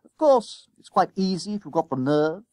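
Male reader as a schoolboy bully, blustering, slangy, high, lively, storybook character, close dry sound. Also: moderate pace, no room sound. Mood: boastful. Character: bossy, indignant schoolboy bully, hot-tempered and boastful.

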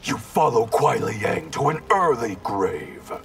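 A man's voice: medium pitch, sing-song, takes it slowly, exaggerated voice. A man playing a cold, domineering ninja grandmaster in a video game, stern and menacing. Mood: cold, commanding. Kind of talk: scripted video game dialogue. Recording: clear music behind the voice.

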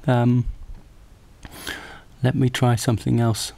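A man's voice: mid-range voice, completely flat delivery, takes it slowly, creaky, mellow. A man giving a casual, unscripted tech demo, hesitant and thinking aloud as he goes. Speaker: presenter of a hardware prototype demo. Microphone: handheld camera microphone. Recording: noisy handheld camera recording with clicks.

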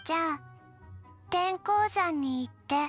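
Tiny zombie girl, very high, slow, deadpan, halting, anime game, music behind. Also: heavily acted. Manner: flat, halting, refers to herself by name. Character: small deadpan undead zombie girl, forgetful and stiff.

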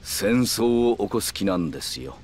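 An actor playing a calm, calculating middle-aged yakuza man who speaks in polite, measured, formal phrases in a video game. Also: lowish voice, breathy edge. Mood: cool, persuasive. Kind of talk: Japanese video game cutscene acting.

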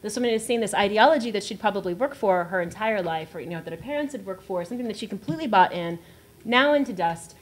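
A woman in her thirties telling a lively story on a literary panel, laughing and acting out the scene, into a table mic in front of an audience. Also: medium pitch, quick pace. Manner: animated, laughing, acts out the scene. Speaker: panel writer. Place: gallery event room with audience.

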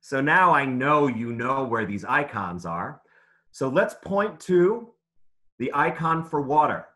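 Lively-pitched man leading a children's read-aloud. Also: takes it slowly. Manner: instructive, simple phrasing for young children, encouraging. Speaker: author and teacher reading aloud. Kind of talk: interactive children's read-aloud lesson over video call.

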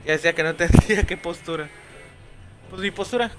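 A man's voice: fairly high pitch, a little fast. A young man with a Mexican accent, casually commenting on a game and chatting with viewers. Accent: Mexican Spanish. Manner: chatty, swears when frustrated, reads game lines aloud. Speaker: streamer. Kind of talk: casual gameplay commentary, reading chat. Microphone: headset. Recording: game music playing behind.

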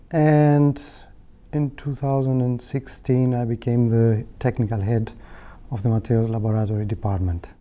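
Slow, very mellow Greek-accented man. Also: medium pitch. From Greece. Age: 30s. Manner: hesitant, measured, explanatory. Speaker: technical head of a materials laboratory. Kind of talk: informal career interview monologue. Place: indoor meeting room. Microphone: lapel.

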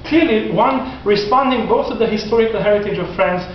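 A man in his forties giving a classroom lecture, animated and gesturing, often restarting his sentences. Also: high-pitched, fairly quick, slight background noise. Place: classroom in front of whiteboard. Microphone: far camera. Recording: echoey classroom from far off, dull lo-fi.

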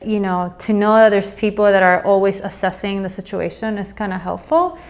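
A woman's voice: mid-range voice, fairly quick. Woman reflecting candidly in an interview. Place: indoor office. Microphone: lapel mic.

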